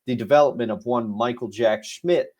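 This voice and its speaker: A man's voice: medium pitch, moderate pace, a little mellow. A young man co-hosting a podcast, talking in an easygoing, emphatic way and laughing along. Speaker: podcast co-host. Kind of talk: casual podcast chat and review.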